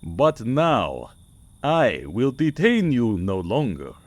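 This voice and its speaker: An actor playing a courtly, menacing vampire nobleman in a vintage radio horror drama, deliberate and formal. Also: mid-range voice, huge pitch swings, darkish tone, dry recording, exaggerated voice. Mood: courtly, commanding. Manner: slow, deliberate, formal and ominous.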